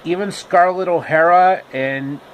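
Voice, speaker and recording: A man narrating a homemade story with deliberate, halting phrasing. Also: high voice, highs cut off, slight background noise.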